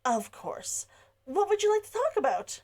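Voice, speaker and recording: A woman's voice: extremely high pitch, big pitch swings, normal speed, darkish tone, pitched above the performer's own voice. A woman reading the part of a proud young noblewoman who stays polite while holding back frustration. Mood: tense-polite.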